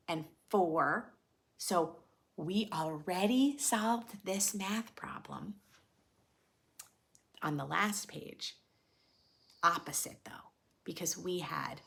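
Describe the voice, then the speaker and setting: A woman reading a children's storybook aloud in a dramatic, playful teacher's way, pausing to put questions to the listener. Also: on the low side, creaky, a bit bright. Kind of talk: expressive storybook read-aloud with teaching asides.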